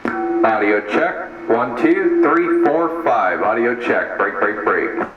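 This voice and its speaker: A man's voice: mid-range voice, lively intonation, slow. A man heard through a CB radio speaker, making test calls and counting for an audio check. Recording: dull, echoey radio audio with faint noise.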